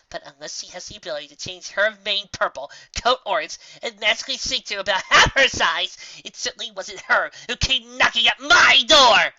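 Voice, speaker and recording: Melodramatic female unicorn, male reader, grand and aggrieved, high forceful voice, dull storybook recording. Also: no echo, full character voice. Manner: pompous, theatrical. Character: melodramatic, pompous, indignant female unicorn magician. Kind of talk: fan fiction storybook reading.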